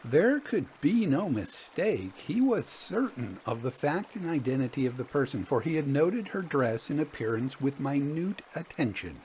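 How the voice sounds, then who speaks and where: Guy reading old ghost stories, careful measured delivery, really animated intonation. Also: on the high side, a little hiss or hum, poor audio. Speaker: host and reader of a ghost story podcast. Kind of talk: storytelling, reading Victorian tales aloud.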